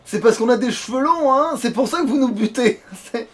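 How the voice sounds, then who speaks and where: A man's voice: very high-pitched, expressive, moderate pace. A man chatting casually through a video game as let's play host, slangy and sweary, grumbling as he goes. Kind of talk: casual gaming chat.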